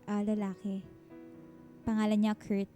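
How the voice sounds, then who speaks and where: A woman's voice: medium pitch, completely flat delivery, a little slow, warm tone, natural voice. An actress with a Filipino accent playing a guarded but friendly single mother of thirty in a radio drama. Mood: hesitant, friendly. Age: 30s. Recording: music bed behind the voice.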